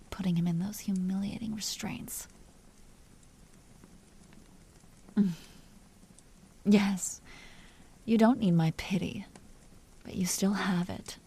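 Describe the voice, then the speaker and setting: Woman voicing a slow, breathy, seductive vampire duchess. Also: medium pitch, steady tone, dark tone, heavily acted. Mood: pitying, seductive. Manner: slow, breathy delivery dropping often into whispers. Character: dominant female vampire duchess, seductive, teasing, coolly imperious. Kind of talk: scripted audio roleplay, intimate monologue to listener.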